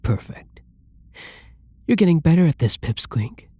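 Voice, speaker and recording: A man performs as a masked male villain in an intimate ASMR audio drama. His voice is dark and breathy, often close to a whisper, and he speaks very slowly. He taunts and orders his hostage around with flirty, condescending menace. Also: on the high side, sing-song, no room sound, heavily acted. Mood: commanding, mocking.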